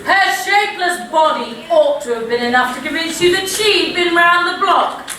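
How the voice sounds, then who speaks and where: Woman doing a bossy mocking panto villainess, really high and swoopy, miked from far off in an echoey hall. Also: lively intonation, normal speed, sharp tone, exaggerated voice. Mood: scornful, commanding. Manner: imperious, mocking, theatrical. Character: haughty, scheming female pantomime villain. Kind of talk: broad comic pantomime acting. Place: theatre hall stage. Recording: very echoey hall, distant mic, noisy.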